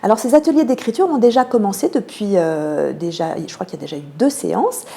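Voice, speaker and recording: A woman with a French accent from France giving measured, explanatory answers as a radio interview guest, pausing often to find her words. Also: mid-range voice, animated, creaky, warm tone. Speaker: theatre programme manager, interview guest.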